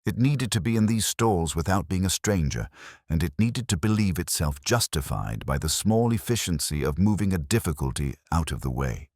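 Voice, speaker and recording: A patient and shrewd inspector, acted out by a male reader in a detective story. He has a very low voice with expressive movement in pitch, and probes quietly in measured phrases. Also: no room sound, clean studio sound. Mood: accusing. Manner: measured, epigrammatic, quietly probing.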